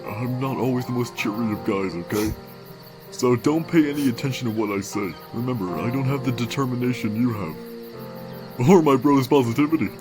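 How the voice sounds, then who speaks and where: A man's voice: medium pitch, normal speed, lightly acted. A man voicing a laid-back, weary skeleton monster with a dry, slangy, protective manner in a comic dub, over music. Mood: weary, gentle. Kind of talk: amateur comic dub acting.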